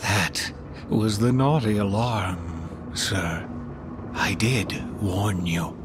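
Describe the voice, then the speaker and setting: A man's voice: on the low side, steady tone, very slow. A man playing a menacing, gleefully officious Christmas elf attendant in an audio story, mock-polite and taunting. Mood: smug menace. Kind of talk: audio horror story dialogue. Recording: music behind the voice.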